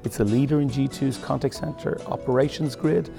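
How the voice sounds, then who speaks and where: A man hosting a podcast interview at a tech conference, reading a scripted intro and then asking halting questions. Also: medium pitch, fairly even intonation, vocal fry, music in the background. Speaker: podcast host.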